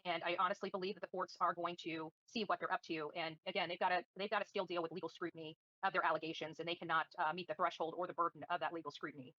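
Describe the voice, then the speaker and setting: A woman's voice: on the low side, flat delivery, very fast, few pauses, sharp tone, no room sound, highs cut off. A woman livestreaming commentary and answering viewers in a combative, defiant, scornful manner. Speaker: livestream host.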